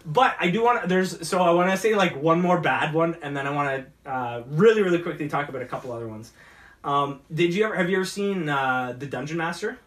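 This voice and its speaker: A man co-hosting a casual geek talk show, speaking in halting, repetitive bursts with lots of "like". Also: a little high, a bit bright. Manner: halting, repetitive, heavy use of "like". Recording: echoey room, distant from mic.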